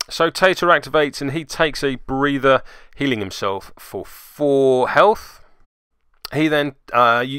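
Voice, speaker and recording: Animated, clear-voiced man narrating tabletop gameplay. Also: mid-range voice, no echo, studio quality. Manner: dryly sarcastic asides. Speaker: host and player narrating tabletop game report. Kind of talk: play-by-play game recap voice-over.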